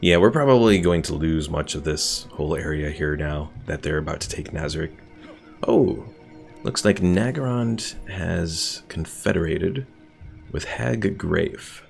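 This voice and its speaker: Male streamer, low register with vocal fry, casual rambling game commentary to chat. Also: expressive, a little slow. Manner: rambling, thinking aloud. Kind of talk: casual gameplay commentary while chatting with viewers. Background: game music.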